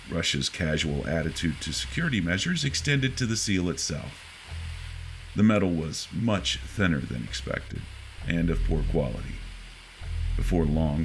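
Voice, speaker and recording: Very deep-voiced man narrating horror. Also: gravelly. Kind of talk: scripted first-person horror narration. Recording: faint background noise.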